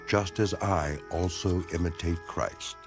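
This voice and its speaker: A man giving a dramatized scripture reading in a solemn, declamatory, measured manner. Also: deep voice. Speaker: narrator of a dramatized Bible reading. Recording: voice over background music. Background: music.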